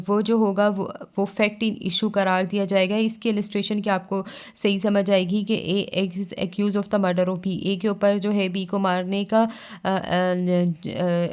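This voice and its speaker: A woman's voice: medium pitch, darkish tone, smooth. A woman with a Pakistani accent giving an explanatory lesson as a law tutor, reading out and explaining line by line.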